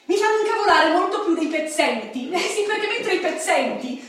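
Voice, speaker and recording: A very echoey, distant recording of a neurotic bourgeois woman in a radio play. Her voice is high, and she chatters on nervously, correcting herself as she goes. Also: animated, normal speed, fairly bright tone. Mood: agitated, self-justifying. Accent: Italian. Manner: rambling, self-correcting, nervous laughter. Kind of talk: radio drama acting before an audience. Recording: echoey, distant microphone, faint noise.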